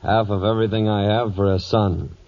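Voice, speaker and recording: An actor playing a hard, vengeful older cattle baron with an American accent and a blunt, commanding manner in a 1940s radio western. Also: male voice, lowish voice, flat delivery, normal speed, close to an everyday voice. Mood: blunt, guarded. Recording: muffled 1940s radio broadcast recording.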